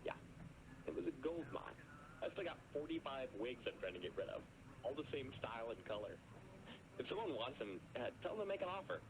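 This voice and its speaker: An actor playing a laid-back caller who rambles about buying storage units. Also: male voice, medium pitch, a little fast, natural voice. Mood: rambling. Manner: rambling, casual, easygoing. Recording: steady background noise.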